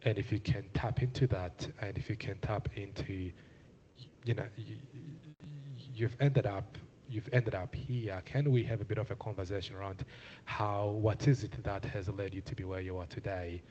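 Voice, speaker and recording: A man's voice: on the low side, steady tone, moderate pace, dark tone, lo-fi sound, a little hiss or hum. A young man from Zimbabwe speaking on a panel, earnest and hesitant with many filler sounds. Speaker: community panelist. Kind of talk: panel talk.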